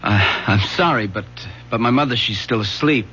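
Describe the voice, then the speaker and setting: An actor playing a nervous, polite teenage son who speaks hesitantly and guardedly in a radio drama. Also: male voice, lowish voice, normal speed, sharp tone, faint music behind. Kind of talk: 1970s radio drama acting. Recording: dull, humming radio drama recording.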